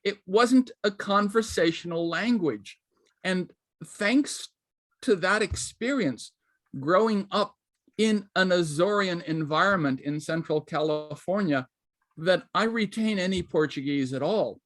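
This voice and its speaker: High-voiced man with a dry sound. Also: unhurried, a bit bright, no room sound. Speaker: panelist, writer. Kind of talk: personal storytelling on a panel.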